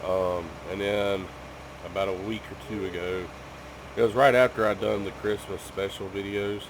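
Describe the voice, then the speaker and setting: A man's voice: fairly low pitch, little pitch movement, moderate pace, background noise. A man who is sick with a cough, chatting casually to his viewers at home in a rambling way, full of ums and restarts. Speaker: gaming YouTuber.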